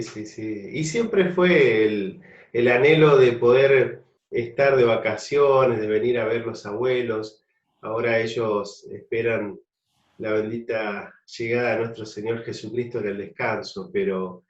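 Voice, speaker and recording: A man with an Argentine accent, a pastor reflectively and fondly telling his life story in an interview. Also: on the low side. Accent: Argentine Spanish. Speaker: pastor guest. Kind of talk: interview testimony. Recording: distant, roomy, dull sound.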